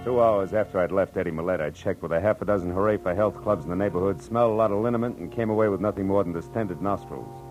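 An actor playing a wry, world-weary private detective narrating in a 1940s radio drama style. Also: male voice, deep voice, quick pace, few pauses, lo-fi sound, close to an everyday voice. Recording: old radio recording with music.

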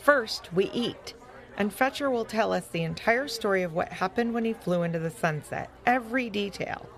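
A woman voicing a stern, sly male coyote leader in a children's story, speaking deliberately and with authority. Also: medium pitch, lively intonation, pitched above the performer's own voice, close to an everyday voice. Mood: firm.

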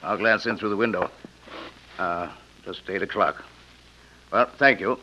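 Man as low, suave, wealthy gentleman villain. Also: unhurried, lightly acted. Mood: smoothly aloof. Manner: polished, formal, pompous. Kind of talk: old radio western acting. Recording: dull, noisy old radio recording.

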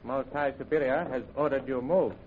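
An actor playing a cold, curt prison guard in an old-time radio serial, giving terse, stilted commands. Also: male voice, medium pitch, fairly even intonation, normal speed, close to an everyday voice. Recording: dull, very noisy old radio recording.

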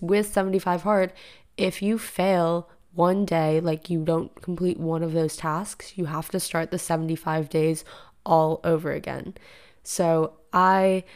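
A young woman talks through her own solo podcast from her living room, chatty and full of fillers. Her pitch sits on the low side, and her voice is raspy and a touch deeper from just having woken up. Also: a little mellow. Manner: chatty, rambling, filler-heavy. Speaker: podcast host. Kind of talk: casual solo podcast monologue.

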